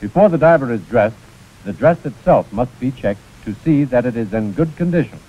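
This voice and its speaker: A man's voice: medium pitch, expressive, moderate pace, warm tone. An American man narrating a scripted 1940s instructional film in a precise, formal manner. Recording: muffled, scratchy 1940s film soundtrack.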